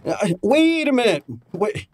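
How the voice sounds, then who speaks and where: A man plays a detective's breezy assistant in a radio drama. He is wry and slangy, and his pitch swings widely as he talks. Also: sing-song, a little slow, full character voice. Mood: wry, brisk. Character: breezy, wisecracking male detective's assistant and narrator. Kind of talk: old-time radio drama acting with narration.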